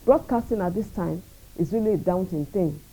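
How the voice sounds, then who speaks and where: A Nigerian woman speaking as a radio station executive in an interview, measured, earnest and proud. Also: lowish voice, dark tone, breathy edge, poor audio. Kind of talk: interview answers.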